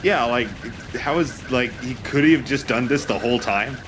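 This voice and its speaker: A man making deadpan, sarcastic casual remarks as a game commentator. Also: mid-range voice, moderate pace, noisy background. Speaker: let's play commentator. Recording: loud game sound behind voice.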